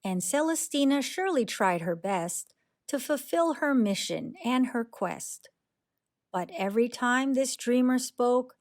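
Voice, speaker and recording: A woman reading a rhyming children's picture book aloud in an expressive, deliberate storytelling manner. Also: mid-range voice.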